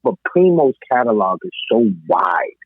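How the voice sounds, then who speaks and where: A man's voice: mid-range voice, sing-song, a little slow, slightly rough, no echo. A man in casual, opinionated music discussion on a podcast, speaking emphatically and repeating phrases to drive points home. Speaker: podcast co-host. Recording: thin phone-like line.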